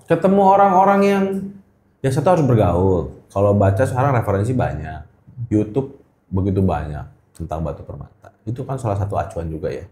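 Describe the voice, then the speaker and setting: Middle-aged Jakarta man, relaxed dark voice, colloquial podcast guest who repeats himself for emphasis, roomy echo. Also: fairly low pitch, brisk. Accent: Jakarta Indonesian. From Indonesia. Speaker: guest, gemstone trader. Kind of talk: casual interview chat. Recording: echoey room.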